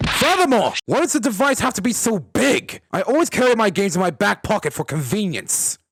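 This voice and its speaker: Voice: mid-range voice, sing-song, brisk, fairly bright tone, husky, dry recording, full character voice. A solo performer voicing a pompous, indignant male video game prosecutor who stammers when outraged. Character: haughty male prosecutor, indignant, easily flustered. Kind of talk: fan dub courtroom comedy, dramatic video game acting.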